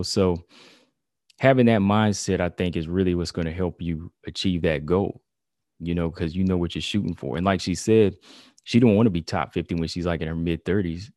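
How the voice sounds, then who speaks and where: A man's voice: sits low, darkish tone, no room sound, clean studio sound. A man giving a casual commentary monologue on combat sports, talking loosely with lots of "you know" and "y'all". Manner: conversational, heavy on "you know" and "y'all", reads quotes aloud.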